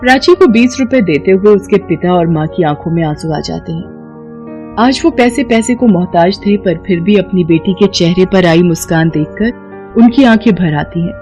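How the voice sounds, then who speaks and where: A woman's voice: fairly low pitch, animated, normal speed, darkish tone. A woman storyteller reading a scripted moral tale, with music behind her.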